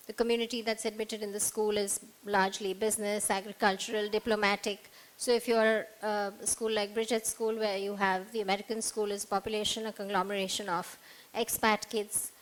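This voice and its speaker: A woman's voice: mid-range voice, poor audio. Middle-aged woman presenting at a podium. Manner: methodical, many fillers. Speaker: school counselor. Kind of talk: conference presentation. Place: hotel conference room, at a podium. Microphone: podium microphone.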